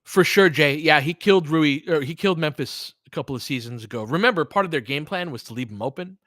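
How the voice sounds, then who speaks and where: A man's voice: fairly high pitch, expressive, fast, fairly bright tone, dry recording, studio quality. A man doing live audio play-by-play of basketball, mixing conversational analysis with replies to listeners as he thinks aloud. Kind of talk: live basketball commentary mixed with casual analysis and chat replies.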